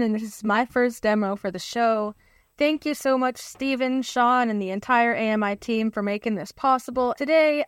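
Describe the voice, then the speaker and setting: Listener lady doing a tech demo, smooth clear voice a bit high, careful steps. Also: dry recording, clean studio sound. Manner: friendly, careful instructions. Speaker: listener contributor.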